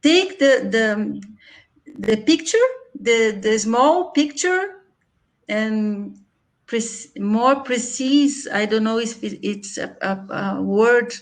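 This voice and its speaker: A woman's voice: fairly high pitch, lively intonation, takes it slowly. A woman from Brazil with a Brazilian Portuguese accent, talking in hesitant, non-native English on a video call, searching for words and laughing often. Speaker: conference session participant. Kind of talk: casual video call chat.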